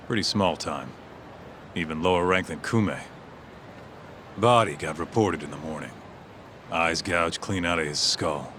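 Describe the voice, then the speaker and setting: An actor playing a streetwise, grumbling detective's sidekick in a video game, skeptical and slangy. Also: male voice, low-pitched, noisy background. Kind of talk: English video game voice acting.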